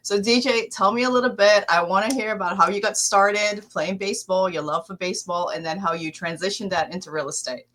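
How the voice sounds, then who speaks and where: A woman's voice: medium pitch, fairly even intonation, a little fast, few pauses, fairly bright tone, slight background noise. A woman hosting a podcast interview, who introduces and prompts her guest in a chatty, upbeat way. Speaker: podcast host.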